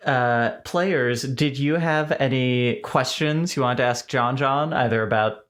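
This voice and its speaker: A man hosting a casual chat with the players, enthusiastic and quick to laugh. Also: mid-range voice, fairly bright tone. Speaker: host.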